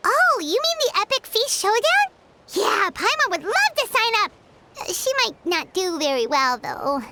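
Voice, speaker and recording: A woman voicing a tiny, excitable, food-loving fairy companion in a video game, squeaky and childlike, exclaiming and calling herself by name. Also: measured pace, very noisy, full character voice. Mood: excited, doubtful. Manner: speaks of herself in third person, exclaiming. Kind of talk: animated video game voice acting. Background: background music.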